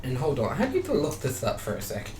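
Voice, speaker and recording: A man's voice: mid-range voice, quick pace. A man chatting casually as a gaming YouTuber, rambling and repeating his phrases, sometimes reading game text aloud. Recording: roomy, distant mic, steady background noise.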